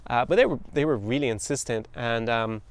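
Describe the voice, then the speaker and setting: A man's voice: medium pitch, fairly quick, clean tone. An Irish man in his thirties, hosting a podcast and telling a story from his travels in a chatty, anecdotal way. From Ireland. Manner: anecdotal, quotes others in voices, chuckles. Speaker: podcast host.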